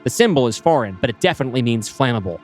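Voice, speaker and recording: A man reading a sci-fi screenplay aloud, setting scenes with brisk stage directions. His voice is mid-pitched and plain, with faint music playing underneath. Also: big pitch swings.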